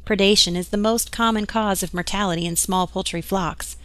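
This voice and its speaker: A woman reading scripted instructional narration in an even, measured way. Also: mid-range voice, no room sound.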